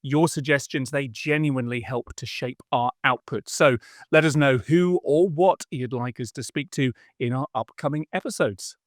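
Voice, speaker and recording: A man's voice: mid-range voice, normal speed, no echo, clean studio sound. A man hosting a business podcast, introducing the show and asking questions in a friendly, conversational way. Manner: friendly, conversational, some restarts. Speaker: podcast host, interviewer.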